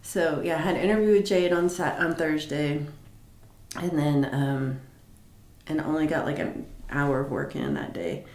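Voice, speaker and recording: A woman hosting a casual livestream chat, rambling with many fillers and restarts, with a low voice. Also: animated, moderate pace, a little mellow, reverberant. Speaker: livestream host. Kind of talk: casual live chat reading comments.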